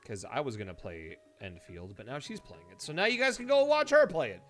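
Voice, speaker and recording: A man's voice: medium pitch, wildly swooping pitch, brisk, a bit bright. A man doing casual live game-stream commentary, rambling playfully with dry asides. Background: music.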